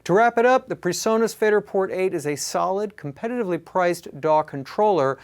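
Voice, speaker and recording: Slightly high-voiced male product reviewer. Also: expressive, a little fast. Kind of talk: scripted product review narration.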